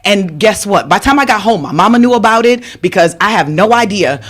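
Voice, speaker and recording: A woman's voice: mid-range voice, animated, fairly quick. Woman from jamaica, running for local office, chatty storyteller, lots of "right?" and "guess what". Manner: chatty, storytelling with rhetorical asides. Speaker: aldermanic candidate. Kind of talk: candidate forum introduction speech. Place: community media center room.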